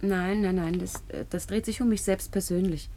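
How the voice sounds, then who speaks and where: A woman's voice: mid-range voice, fairly even intonation, moderate pace, dark tone, a little hiss or hum, close to an everyday voice. A young woman playing a naive, plucky poor girl in a radio play, speaking colloquially and earnestly. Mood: hesitant, earnest. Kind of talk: naturalistic radio drama dialogue.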